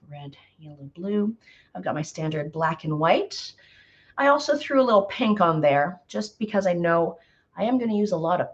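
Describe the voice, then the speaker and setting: A slightly hoarse woman hosting a live painting tutorial, chatty and greeting viewers by name with lots of ums. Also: on the low side, highs cut off. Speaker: painting instructor.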